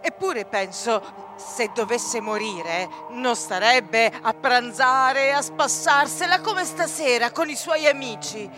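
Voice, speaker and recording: A woman's voice: slow, few pauses, over music. A woman playing a puzzled, chatty servant musing hesitantly in a stage drama monologue. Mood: wondering. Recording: clear background rumble and noise.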